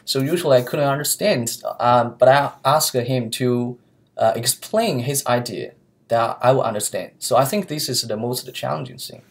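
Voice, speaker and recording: A young man from China with a Chinese accent, answering interview questions earnestly in his second language, often pausing with fillers and restarting sentences, recorded on a laptop webcam in a small office. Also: medium pitch, vocal fry. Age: young adult. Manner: earnest, searching for words, frequent fillers and restarts. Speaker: student interviewee. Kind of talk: mock job interview. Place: small office room with whiteboard, indoors. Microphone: laptop webcam mic.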